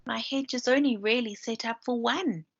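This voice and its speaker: A woman voicing a busy, grumbly but soft-hearted female hedgehog in a children's storybook. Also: a little high, moderate pace, slightly rough. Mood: reluctant. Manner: fussy, grumbling. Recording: dull lo-fi screen-share recording.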